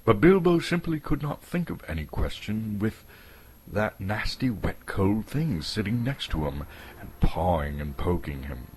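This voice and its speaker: A man's voice: lowish voice, very animated, measured pace, darkish tone, husky. A man from Canada giving a dramatic storybook narration as the narrator.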